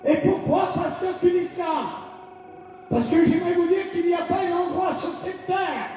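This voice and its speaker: A male pastor from France delivering a fiery revival sermon, shouting in bursts and calling for responses from the congregation. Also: extremely high pitch, steady tone, normal speed, slight background noise. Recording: echoey hall, distant lo-fi mic.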